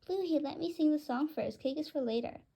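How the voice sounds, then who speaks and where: Woman as a high sing-song pet owner. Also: fairly quick, gravelly, mellow. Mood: celebratory. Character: doting female bird owner singing birthday greetings. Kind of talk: children's story read aloud.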